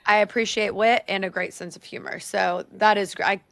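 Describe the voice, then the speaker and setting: A woman's voice: mid-range voice, fairly even intonation, brisk, vocal fry, no echo. A woman hosting a podcast interview, affirming and asking questions casually. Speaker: podcast host.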